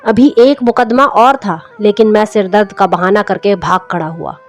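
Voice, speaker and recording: A woman's voice: mid-range voice, fairly even intonation, normal speed, lightly acted. A woman voicing a weary, touchy middle-aged lawyer husband in a story reading. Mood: weary, defensive. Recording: music under the voice.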